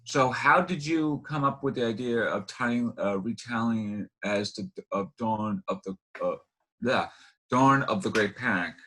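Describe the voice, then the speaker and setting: A man hosting an interview, asking casual questions in halting, meandering phrases. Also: mid-range voice, pushed and bright. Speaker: interview host.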